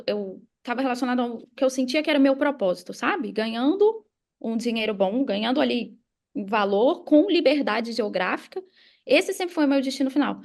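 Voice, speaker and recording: Podcast guest: Brazilian woman, notably high pitch, gravelly fry, casual motivational coach delivery, emphatic. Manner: emphatic, repeats key phrases, uses examples and acted quotes. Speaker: podcast guest, affiliate marketer and mindset coach.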